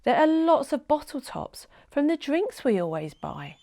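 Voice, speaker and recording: A cheeky young storybook girl as a woman reader puts her on, pitched high and full of exclamation. She swoops about with wide-eyed curiosity, in a close, dry sound. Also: big pitch swings, a little slow, gravelly, darkish tone, no room sound, heavily acted. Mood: shocked, curious. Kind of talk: storybook read-aloud character voice.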